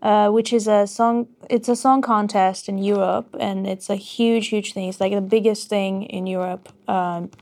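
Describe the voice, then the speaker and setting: A woman's voice: mid-range voice, fairly even intonation, a little mellow. A woman, a singer who is a guest in a radio studio. She speaks in a mid-range voice and chats casually, hesitant and full of ums. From Armenia. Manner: hesitant, many pauses and ums. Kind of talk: casual interview.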